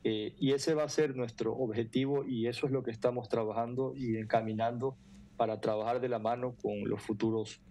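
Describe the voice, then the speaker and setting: A middle-aged man, explaining earnestly and haltingly as an interview guest on a video call. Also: medium pitch, steady tone, warm tone. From Ecuador. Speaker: foundation president, interview guest. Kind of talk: interview answers. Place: room indoors at home or office.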